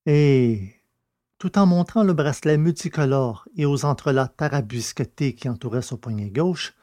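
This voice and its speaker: A man narrating a scripted adventure story in a measured, literary way. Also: medium pitch, dark tone, no echo, clean studio sound.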